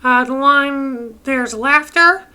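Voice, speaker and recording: A woman giving casual, halting gameplay commentary as a video host, often interrupting herself mid-thought. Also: sits high, sharp tone. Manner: halting, rambling, self-interrupting.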